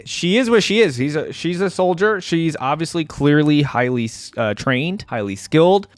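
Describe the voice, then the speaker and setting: A man's voice: mid-range voice, dry recording. A man giving casual, enthusiastic reaction commentary as a video creator, chatty and informal.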